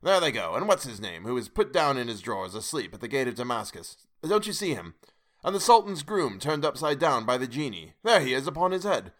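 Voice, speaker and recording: A man voicing an old miser, excited and tearful as he recalls his childhood, in a storybook reading. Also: fairly low pitch, fairly quick. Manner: between laughing and crying.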